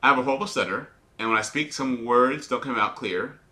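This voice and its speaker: A man's voice: medium pitch, a little fast, pushed and bright. A man, an airline pilot, commenting live on a flight simulator, talking in a put-on radio-announcer voice and explaining each checklist step to viewers with small stumbles and restarts. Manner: occasional small repetitions and restarts.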